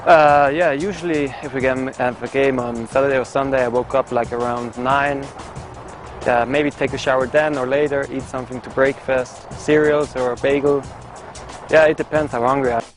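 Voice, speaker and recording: A young man in his twenties with a Swiss German accent, answering interview questions about his soccer career in halting English full of "like". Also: mid-range voice, fairly even intonation, faint music behind. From Switzerland. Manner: halting non-native English with filler "like". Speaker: professional soccer player. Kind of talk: casual interview.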